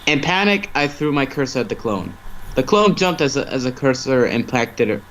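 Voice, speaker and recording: Male amateur narrator, mid-pitched, stumbles and rereads lines, light background noise on a livestream mic. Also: big pitch swings, moderate pace, fairly bright tone. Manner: stumbling over words and rereading phrases. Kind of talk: amateur story reading.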